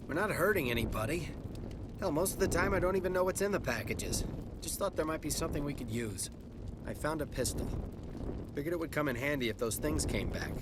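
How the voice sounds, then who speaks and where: A man's voice: a little high, lively intonation, fairly quick, noisy background, lightly acted. A man playing a plain-spoken, guarded farmer on a space colony in a video game, earnest, folksy and defensive. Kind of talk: cinematic sci-fi game dialogue. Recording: music under the voice.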